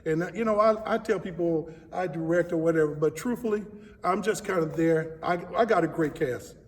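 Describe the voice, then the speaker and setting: A man's voice: a little high, fairly quick. A man speaking casually as an interviewed director, earnest and emphatic, often repeating his words. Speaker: stage play director being interviewed. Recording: echoey hall, distant mic.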